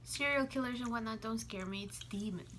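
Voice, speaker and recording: A woman's voice: fairly high pitch, fairly quick, fairly bright tone, slight background noise. A woman co-hosting a mukbang vlog, chatting informally and teasingly while she eats. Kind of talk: casual chat while eating.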